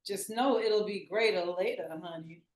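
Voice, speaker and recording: A woman's voice: medium pitch, normal speed, darkish tone, close to an everyday voice. A woman playing a sweet, flirty Southern auntie, roomy sound. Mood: sweet, hospitable. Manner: sweet, hospitable, affectionate. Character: warm flirty Southern auntie donut shop attendant. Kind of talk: live screenplay table read.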